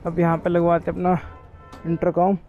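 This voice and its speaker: High-voiced young Indian man, casual vlogging. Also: narrow, tinny audio. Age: 20s. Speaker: motovlogger. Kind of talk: casual chat to camera. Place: on a motorbike in city streets, later inside a small helmet shop. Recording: noisy street and traffic sound. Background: traffic and vehicle noise.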